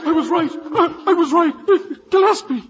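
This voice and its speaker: An actor playing a stammering, giggly village murderer in a 1950s radio drama, on a dull old broadcast recording. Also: male voice, extremely high pitch, moderate pace, a crowd in the background. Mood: excited. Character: murderous Irish villager, excitable then menacing.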